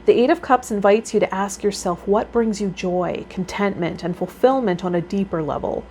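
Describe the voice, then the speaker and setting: A woman's voice: mid-range voice, normal speed, mellow. A woman hosting a tarot vlog, reading card meanings aloud and adding casual commentary of her own. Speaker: tarot vlogger and healer hosting a series. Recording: noticeable background noise.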